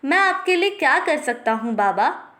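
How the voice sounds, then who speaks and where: A woman voicing a kind, respectful young gentleman in a bedtime story, speaking in a polite, earnest way. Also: sits high, very animated, moderate pace. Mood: caring.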